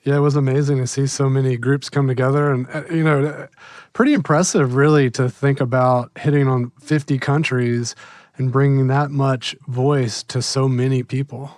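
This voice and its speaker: A man's voice: mid-range voice, fairly even intonation, moderate pace, darkish tone, no room sound, studio quality. A male podcast host briefly summing up and affirming his guest's points. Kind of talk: conversational interview.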